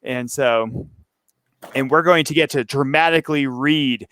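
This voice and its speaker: A man's voice: mid-range voice, a little slow, a bit bright. A man hosting a casual interview, rambling a little and repeating his words as he thinks. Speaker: interview host. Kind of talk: casual chat.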